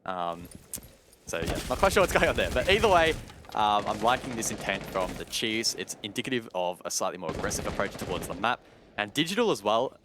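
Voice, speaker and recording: A man giving tactical color commentary on a competitive shooter match, steady and detailed. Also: fairly low pitch, a little fast, background noise. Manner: steady, detailed tactical explanation with occasional self-corrections. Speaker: esports analyst caster. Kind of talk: analytical esports color commentary.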